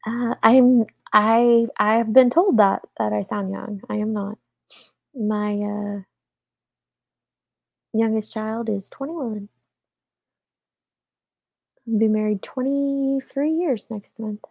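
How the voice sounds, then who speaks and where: A congested woman in her forties chats with her live audience, her voice rough and gravelly with a stuffy edge. She rambles easily and laughs between thoughts. The sound is dull and dry, close to the mic. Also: fairly high pitch, fairly even intonation, takes it slowly, long pauses, no room sound. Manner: chatty, laughs often, asides to chat viewers. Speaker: livestream host. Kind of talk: casual chat with live chat.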